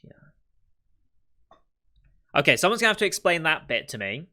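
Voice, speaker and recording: A man hosting an anime reaction, thinking aloud in casual commentary and musing over what the characters will do next, with the odd soft laugh. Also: fairly high pitch, very animated, normal speed. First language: not Japanese.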